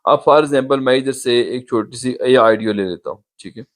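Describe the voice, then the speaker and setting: A man's voice: mid-range voice, moderate pace. Man patiently explaining an app. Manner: explains patiently, pointing things out on screen. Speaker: YouTube tutorial host. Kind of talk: step-by-step app tutorial.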